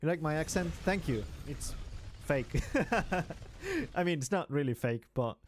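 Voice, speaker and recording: A man's voice: fairly high pitch, big pitch swings, unhurried, a little hiss or hum. A man from Spain, a native Spanish speaker, chatting casually with his viewers while playing a game and laughing easily. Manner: chatty, answers chat, laughs easily, fillers like "like". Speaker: streamer. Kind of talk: casual live game stream chat.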